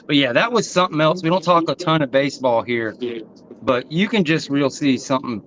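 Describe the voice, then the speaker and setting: A man's voice: a little high, a little fast, fairly bright tone, a little hiss or hum. A man hosting a casual sports talk show, rambling with fillers and restarts. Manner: loose, rambling, filler-heavy. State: sweaty, rushed. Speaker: podcast host.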